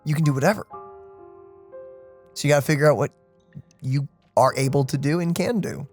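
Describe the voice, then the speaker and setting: A woman giving casual, animated and rambling reaction commentary as she plays a game. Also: deep voice, fairly quick, soft background music. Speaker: let's play streamer.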